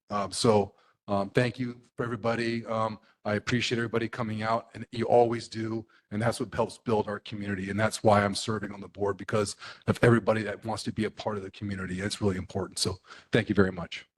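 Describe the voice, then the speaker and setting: A middle-aged man from the US mainland, a neighborhood board member, speaking at a public meeting in a halting way with many fillers and restarts. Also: fairly low pitch.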